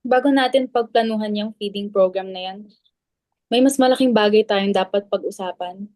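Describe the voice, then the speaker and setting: A woman's voice: medium pitch, moderate pace, darkish tone, no room sound, lightly acted. A Filipino woman playing a negative, suspicious, curt club member in a dramatic reading. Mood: curt, firm.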